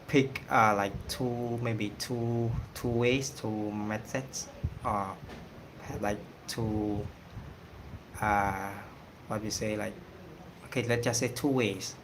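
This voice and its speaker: A man from Cambodia with a Cambodian accent, whose first language is Khmer, talking casually on a livestream and pausing often with fillers. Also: mid-range voice, steady tone, slight background noise, low-quality recording. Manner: hesitant, many pauses and fillers. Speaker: singing channel host.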